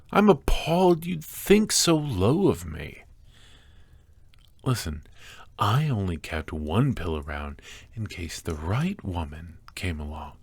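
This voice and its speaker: A very low male voice, close and dry, a man acting a sinister, lovestruck kidnapper for an ASMR roleplay. He speaks slowly and softly, slipping into breathy whispers, doting on his captive with unsettling devotion. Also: no room sound, full character voice. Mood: menacing, tender. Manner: soft, halting delivery with breathy whispers and sighs. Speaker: voice actor.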